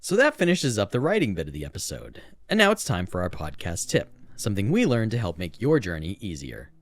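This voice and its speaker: A man with a deep voice and very animated intonation co-hosts a podcast. His delivery is casual and playful, in a dry sound free of room echo. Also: fairly quick. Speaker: podcast co-host.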